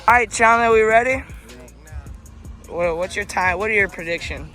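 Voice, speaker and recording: A man's voice: sits high, normal speed, bright, forceful tone, faint music behind. A young man in casual banter with friends, recorded outdoors. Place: outdoors at a dirt riding trail.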